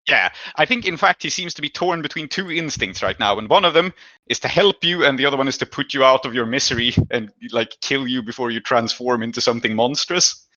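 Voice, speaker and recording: A man's voice: mid-range voice, brisk, sharp tone, no echo, lo-fi sound. A man improvising tabletop game narration as game master, thoughtful and conversational.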